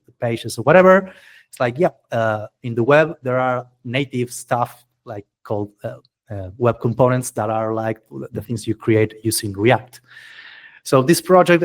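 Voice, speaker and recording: Enthusiastic man hosting, English speaker. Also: mid-range voice. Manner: enthusiastic, chatty, non-native English phrasing. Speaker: meetup host and presenter. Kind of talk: casual, informal event presentation.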